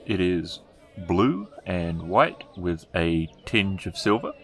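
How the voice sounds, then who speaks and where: Tabletop storyteller, male: low, leisurely delivery, halting improvised scene description. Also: very animated. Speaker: game master. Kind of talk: improvised tabletop game narration.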